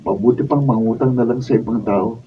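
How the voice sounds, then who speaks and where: An actor playing a respectful, sensible student son in a Filipino radio drama. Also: male voice, mid-range voice, brisk, lo-fi sound, lightly acted. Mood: earnest, protective. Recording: distant mic, faint noise.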